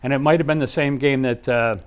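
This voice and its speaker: A man's voice: mid-range voice, steady tone, brisk, clean tone. A middle-aged man telling anecdotes casually as a sports guest, rambling and repeating phrases as he goes.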